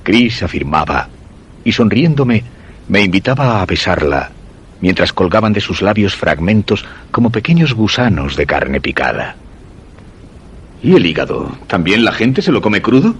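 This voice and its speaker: An actor with a Castilian accent playing a suspicious husband, narrating a dark suspense radio drama in measured, literary phrasing. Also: male voice, on the low side, sing-song, a little hiss or hum. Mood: probing, reproachful. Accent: Castilian Spanish.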